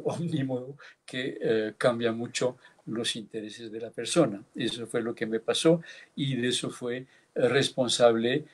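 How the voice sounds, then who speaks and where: A man in his seventies from France, giving a measured, reflective tribute speech over a video call, with small hesitations. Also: mid-range voice, breathy edge. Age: 70s. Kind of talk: formal reminiscence, partly read.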